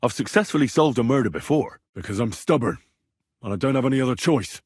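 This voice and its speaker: A stubborn young investigator in a video game. His voice is slightly rough and moves freely up and down as he probes with earnest, plain-spoken questions. The sound is dry and close, with no room around it. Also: mid-range voice, lively intonation, quick pace, darkish tone, no room sound. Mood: determined, probing. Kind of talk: video game character dialogue.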